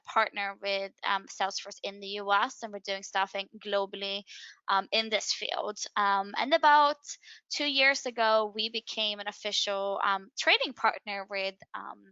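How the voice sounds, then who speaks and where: Woman, Swedish accent, career advisor, conversational, crisp voice, dry muffled audio. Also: medium pitch, sharp tone, no room sound. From Sweden. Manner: friendly, conversational with frequent ums. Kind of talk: webinar presentation.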